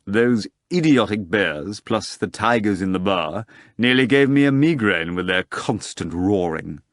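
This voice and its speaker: Cold bossy devil guy, narrator doing him, low-key and measured, kinda different tone. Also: expressive, dry recording, clean studio sound. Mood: dismissive. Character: imperious male devil master, dismissive and grave.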